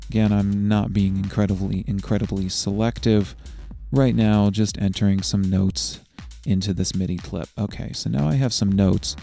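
A man narrating a step-by-step software tutorial in a methodical, explanatory way. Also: on the low side, fairly even intonation, normal speed, gravelly, soft background music.

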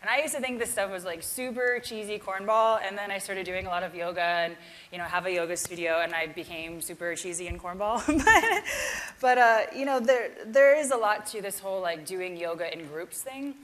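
A woman's voice: medium pitch, brisk, a bit bright. A woman yoga teacher giving a casual keynote talk with a live demonstration, chatty and self-deprecating.

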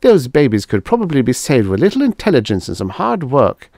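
A man's voice: medium pitch, huge pitch swings, fairly quick, darkish tone, no room sound, studio quality, voice pushed up. A man voicing a stern, practical woman who speaks severely and bitterly.

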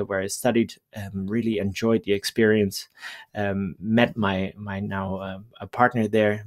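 A man in his thirties from the Netherlands with a Dutch accent, a guest talking about his work in a relaxed, conversational way over a headset on a video call. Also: mid-range voice, gravelly. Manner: relaxed, conversational, thinking aloud. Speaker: guest, bicycle planner. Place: home room, indoors.

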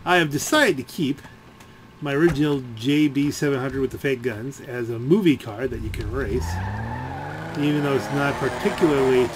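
Rambling male gamer with background noise. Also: medium pitch. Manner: halting, rambling, thinking aloud. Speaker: gaming video creator. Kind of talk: rambling casual gameplay commentary.

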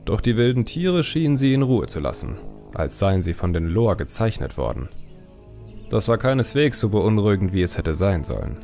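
A man's voice: fairly low pitch, very animated, moderate pace, vocal fry, mellow, faint music behind. A man reading a fantasy audiobook as scripted dramatic narration.

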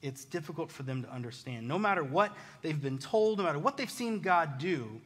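An American man preaching a conversational sermon, casual, animated and self-deprecating, telling personal stories as a pastor. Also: fairly high pitch, a little fast. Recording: reverberant hall.

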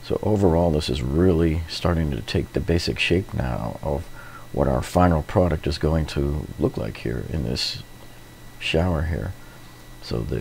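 A man's voice: extremely low pitch, creaky, a little mellow, a little hiss or hum. A middle-aged man narrating a hands-on renovation tutorial in an informal, explanatory way, often repeating himself. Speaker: DIY renovation host.

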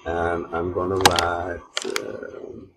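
A man from Sweden with a Swedish accent, giving an informal software tutorial in simple, halting non-native phrasing. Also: low voice. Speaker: tutorial host. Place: voice-over recorded at an old computer. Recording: steady clear background noise, mouse clicks.

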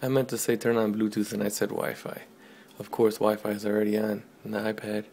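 A man casually demoing an app on camera, joking and talking loudly to the device at his desk. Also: fairly low pitch, completely flat delivery, fairly quick. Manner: offhand, joking, says commands loud to the device. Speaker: tech video host. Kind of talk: casual product demo. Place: small room at a desk.

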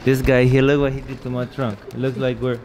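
A man's voice: mid-range voice, flat delivery, fairly quick, darkish tone, slight background noise. A male musician hosting his vlog in casual chat, friendly and laid-back, filmed on a handheld camera.

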